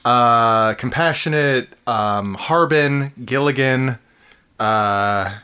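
Um homem apresentando um podcast numa conversa casual e cômica, falando com hesitações e recomeços e rindo com frequência. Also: male voice, medium pitch, sharp tone, clear, resonant tone. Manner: halting, stammering restarts, laughs often. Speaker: podcast co-host. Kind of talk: casual comedic chat, reading dating ads aloud.

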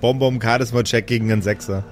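A man's voice: medium pitch, steady tone, fast, slight background noise. A man as game master, improvising narration and table talk for a role-playing game.

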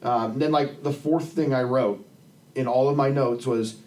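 A man's voice: mid-range voice, measured pace, a little mellow. A man chatting casually and profanely about films, rambling informally as a podcast panelist. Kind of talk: casual film discussion. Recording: distant from the microphone.

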